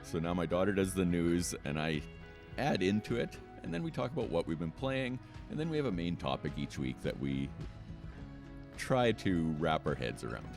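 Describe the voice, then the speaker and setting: Middle-aged man, very deep voice, animated pitch, casual interview chat, music underneath. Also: moderate pace, vocal fry. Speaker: guest, board game radio show host.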